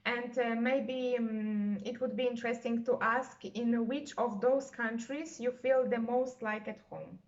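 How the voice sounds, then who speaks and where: A woman's voice: fairly high pitch, fairly even intonation, measured pace, hardly any pauses. Hesitant woman hosting a video interview. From Poland. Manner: hesitant, many fillers and restarts. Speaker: interviewer and channel host. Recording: dull lo-fi video call audio.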